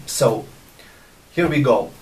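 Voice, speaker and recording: A man from Russia with a Russian accent, talking casually to viewers as a video host in a hesitant, halting way. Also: mid-range voice, a little mellow, far from the mic. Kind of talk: casual vlog talk to viewers.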